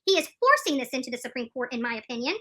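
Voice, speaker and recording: A woman giving live, opinionated legal commentary, emphatic and halting, repeating phrases for stress and often restarting her sentences. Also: high-pitched, big pitch swings, pushed and bright. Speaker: streamer commenting on court news.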